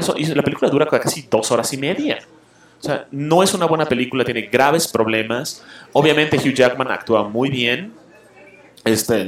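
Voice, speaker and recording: Guy hosting a show, blunt opinions, lots of "este" fillers, mid pitch, roomy echo. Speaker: show host reviewing films and comics. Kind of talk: casual opinionated radio-style review.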